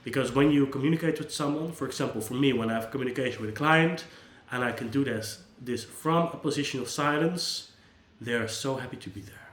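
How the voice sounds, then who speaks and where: A man whose first language is Dutch, a psychologist who hosts his own channel. He talks to camera without a script, earnest and gentle, and restarts his sentences often. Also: medium pitch, brisk, echoey room. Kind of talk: unscripted talk to camera.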